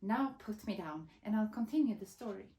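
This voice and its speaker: A woman's voice: mid-range voice, lively intonation, normal speed, mellow, echoey room, close to an everyday voice. A woman voicing a carefree, self-centred, easily flustered mole in a picture book, blurting and interrupting. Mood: placating.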